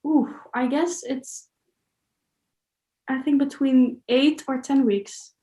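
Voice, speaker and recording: A woman's voice: high-pitched, steady tone, slow pace, warm tone, reverberant. A woman from the Netherlands with a Dutch accent, answering interview questions in a casual, thoughtful, hesitant way. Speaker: interview guest, solo traveller.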